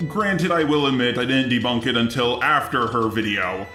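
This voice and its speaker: Sharp-voiced man, argumentative video commentary, sarcastic and indignant, echoey room, faint music. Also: medium pitch, moderate pace. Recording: roomy echo with music underneath.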